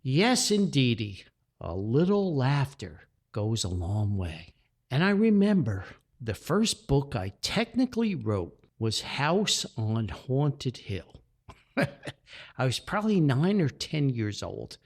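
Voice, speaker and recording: A man's voice: mid-range voice, sing-song, takes it slowly. A man hosting a podcast, telling reflective stories in a folksy, sentimental and earnest way. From Paterson, New Jersey, United States. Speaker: podcast host and author.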